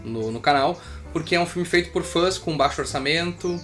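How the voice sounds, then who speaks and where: A woman's voice: low-pitched, moderate pace, fairly bright tone, faint music behind. A woman co-hosting a casual, conversational film review. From Brazil. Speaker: co-host of a film review show.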